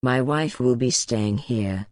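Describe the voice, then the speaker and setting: A woman's voice: very deep voice, slow pace, a little mellow, dry recording, voice pushed down. A woman voicing curt, proud medieval noblemen in an audiobook romance. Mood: firm. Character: curt, proud medieval duke and his loyal man.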